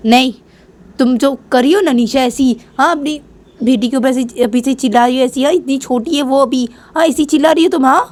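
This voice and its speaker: A woman's voice: medium pitch, fairly even intonation, moderate pace, pauses often, a little mellow, close to an everyday voice. A woman voicing a firm, protective older mother in a family story, insisting and reproaching as she repeats herself. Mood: reproachful.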